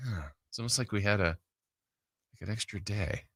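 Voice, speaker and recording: A man's voice: on the low side, normal speed, a bit bright, no echo. A man hosting a sports radio show, chatting casually in a rambling, conversational way close to the mic. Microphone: close studio broadcast mic.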